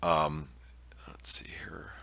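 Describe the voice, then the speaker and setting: A man's voice: slow pace, sharp tone, whispered. A man with a nasal, stuffed-up voice from allergies, giving casual market commentary over a shared screen, full of fillers and restarts. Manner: hesitant, many fillers and restarts. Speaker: market analyst presenting weekly preview.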